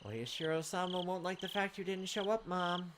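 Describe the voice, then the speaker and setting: A man's voice: high voice, brisk, background noise. A man reading game text aloud as a let's play host, adding informal, playful commentary. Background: game music.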